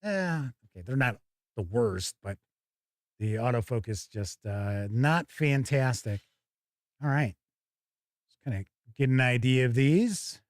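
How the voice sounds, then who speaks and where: A man's voice: mid-range voice, animated, slow, long pauses, dry recording, studio quality. A man hosting a tabletop game review stream, chatting casually and thinking aloud as he comments on an unboxing.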